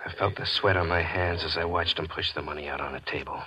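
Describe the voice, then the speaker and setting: An American man acting in a 1940s radio drama as a bitter small-time gambler, telling his story in a tense, deliberate, dramatic way. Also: extremely low pitch, fairly even intonation, bright, forceful tone. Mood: tense suspense. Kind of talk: 1940s radio drama acting, first-person suspense narration. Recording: muffled old radio recording. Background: dramatic music.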